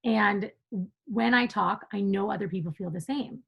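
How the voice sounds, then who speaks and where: Husky, gravelly-voiced woman talking passionately. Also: mid-range voice, dull audio. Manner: passionate, emphatic, quick to laugh. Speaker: podcast guest. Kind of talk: conversational interview.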